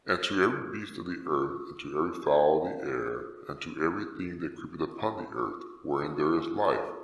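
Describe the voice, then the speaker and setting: An extremely deep, echoing God proclaims in a dramatic scripture reading. He is ageless and commanding, every phrase slow, stately and solemn. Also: lively intonation, fairly bright tone, dull audio, heavily acted. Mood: stately decree.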